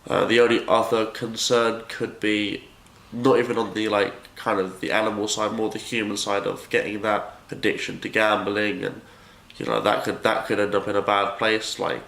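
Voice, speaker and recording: A man's voice: fairly low pitch, vocal fry, fairly bright tone. A nineteen-year-old man answering casually, rambling with "like" and "kind of". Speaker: interviewee. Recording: echoey room.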